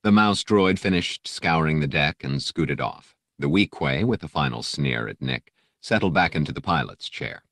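Very deep male audiobook narrator. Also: lively intonation, normal speed, fairly bright tone, no room sound, clean studio sound. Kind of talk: scripted narration of an adventure novel.

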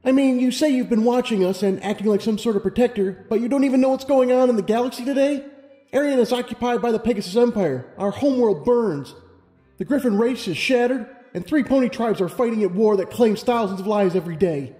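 A man's voice: extremely high pitch, a little fast, warm tone, pitched above the performer's own voice. A man voicing a young female unicorn who is skeptical, blunt and questioning. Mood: indignant. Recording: long hall reverb over music.

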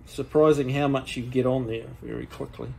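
A man in his sixties with a New Zealand accent, showing car restoration work in a workshop in a relaxed, practical, plain-spoken way. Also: mid-range voice, normal speed, creaky, slight background noise, poor audio. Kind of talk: casual how-to demonstration. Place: large car workshop, indoors.

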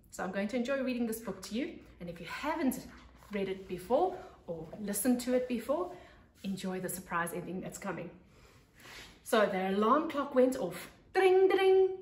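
A South African woman in her thirties reading a children's story with expressive, deliberate emphasis, like a teacher at storytime. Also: mid-range voice. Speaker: pre-primary teacher. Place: living room on a couch by large windows. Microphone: camera a couple of metres away. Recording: echoey room, camera mic.

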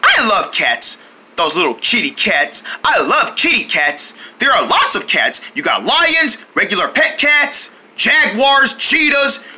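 A performer voicing a goofy, excitable cartoon boy who rambles and growls like a tiger. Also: medium pitch, a little slow, slight background noise, exaggerated voice. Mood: gushing, playful.

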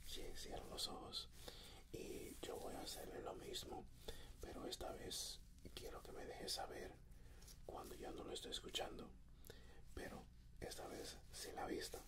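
A man in his thirties whispers softly and breathily in an ASMR medical exam roleplay, gently giving reassuring, step-by-step instructions as a doctor. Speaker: ASMR performer playing a doctor. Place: indoors, dark backdrop. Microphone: close microphone.

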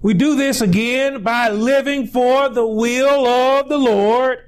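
A pastor in his forties preaching a sermon in a small indoor room on a lapel mic, building to shouted peaks between slow, drawn-out phrases. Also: very high voice, fairly even intonation, clean tone.

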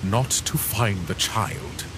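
Man acting sinister cartoon phantom, taunting, drawn-out phrasing, sliding intonation. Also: on the low side, expressive, noisy background. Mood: quietly menacing. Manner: slow, taunting, theatrical. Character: sinister, mocking, forgotten phantom man, an old acquaintance. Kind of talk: fan comic dub acting.

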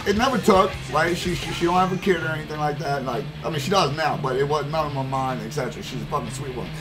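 A man's voice: on the high side, quick pace, poor audio. Young man telling a rambling, profane story. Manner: profane, rambling, animated storyteller with many fillers. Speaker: streamer. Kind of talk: casual storytelling while gaming. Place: indoor room at gaming desk. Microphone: headset. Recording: background guitar music playing.

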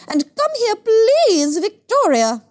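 A woman's voice: extremely high pitch, huge pitch swings, slow, darkish tone, pitched above the performer's own voice, heavily acted. A woman voicing a fussy, snobbish mother in a picture book, pompous, bossy and disapproving. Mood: commanding. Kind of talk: picture book read-aloud.

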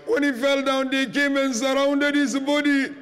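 A man preaching a mourning sermon as a dramatic lament, impassioned and drawn-out, his voice tearful and breaking. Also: very high voice, flat delivery, a bit bright. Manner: impassioned, drawn-out, grief-laden delivery. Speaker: preacher reciting a religious lament.

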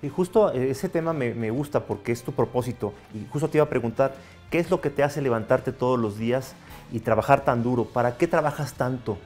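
A man's voice: mid-range voice, a little fast, darkish tone, faint music behind. A man in his thirties hosting an interview in a bar, asking questions in a friendly, inviting way. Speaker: interview host. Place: bar with bottle shelves.

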